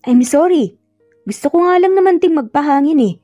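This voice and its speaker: A woman's voice: high-pitched, lively intonation, brisk, warm tone, no room sound, studio quality, soft background music, voice pushed up. A woman with a Filipino accent voicing an earnest, insistent man pleading with his lost love in a narrated romance. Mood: coaxing.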